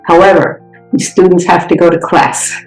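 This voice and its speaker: American woman, reassuring program director; fry in the voice, roomy echo. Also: fairly low pitch, moderate pace, faint music behind. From United States. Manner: reassuring, explanatory. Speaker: regional director of a freshman program. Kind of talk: podcast interview answers.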